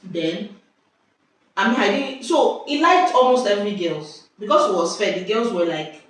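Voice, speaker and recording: A young Nigerian woman telling school stories in casual chat at home, lively and exclamatory, often breaking off mid-sentence. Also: mid-range voice, moderate pace. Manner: lively, exclamatory, self-interrupting. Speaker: vlogger. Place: indoors at home. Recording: echoey room, distant mic, faint hiss.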